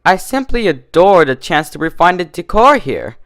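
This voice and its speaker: Man as a refined, theatrical lady pony, raised pitch. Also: big pitch swings, normal speed, a bit bright, heavily acted. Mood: prim, pleased. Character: refined, fussy, theatrical female cartoon pony.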